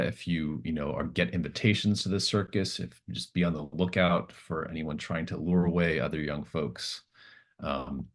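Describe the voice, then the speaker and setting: A very deep-voiced man acts as game master for a tabletop roleplaying session. He explains scenes in an improvised way, pausing to think aloud as he feels out the story.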